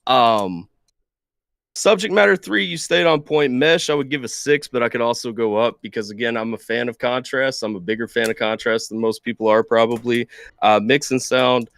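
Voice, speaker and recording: A man's voice: medium pitch, moderate pace, gravelly, fairly bright tone, dry recording. Hesitant man giving scores, dry sound. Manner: hesitant, searching for words with fillers. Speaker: guest judge. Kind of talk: casual review chat.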